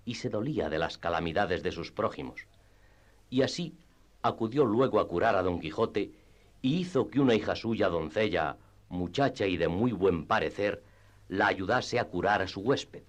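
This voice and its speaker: A man with a deep voice serves as the narrator of a radio play. He tells the literary tale in a formal, measured storytelling style.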